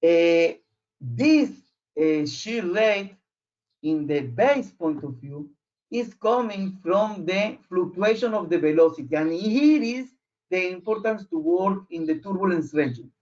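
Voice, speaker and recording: A man who is not a native English speaker, giving an explanatory technical presentation in a halting way, searching for words. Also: high voice, lively intonation, a little mellow. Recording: muffled, dull online audio.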